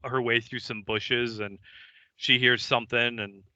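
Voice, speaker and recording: A man's voice: medium pitch, little pitch movement, unhurried, bright, forceful tone, smooth, dry recording, muffled recording. A man co-hosting a podcast, retelling an episode in a loose, joking way with lots of fillers. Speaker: podcast co-host. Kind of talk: casual episode recap chat.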